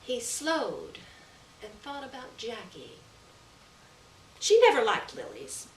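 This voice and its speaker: A woman reading a literary short story aloud in a measured, deliberate way, with pauses between phrases. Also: on the high side, wildly swooping pitch. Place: roomy venue at a live reading. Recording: echoey room, distant mic, background noise.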